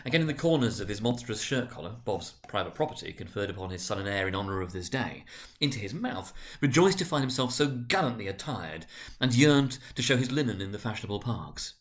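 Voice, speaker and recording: A man narrating a classic story as a dramatic reading, in an expressive, theatrical manner. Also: on the low side, brisk.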